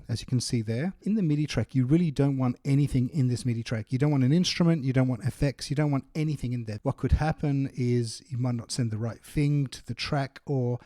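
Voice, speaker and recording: Mellow, dry-sounding man presenting a tutorial. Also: medium pitch, no room sound. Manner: explanatory, informal. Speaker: music production tutorial host. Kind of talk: casual software tutorial narration.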